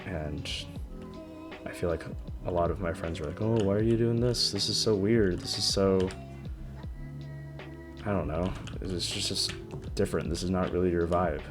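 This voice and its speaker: A young man in his twenties with a deep voice, rambling hesitantly about his life in a personal vlog, often stopping and restarting. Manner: hesitant, halting, frequent restarts. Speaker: vlogger. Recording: music playing under the voice. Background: background music.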